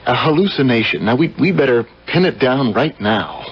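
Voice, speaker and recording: An actor playing a concerned, level-headed older brother in a 1970s radio drama, questioning and emphatic. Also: male voice, mid-range voice, expressive, normal speed, over loud music, lightly acted. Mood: firm, skeptical. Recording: dull, slightly noisy vintage radio broadcast.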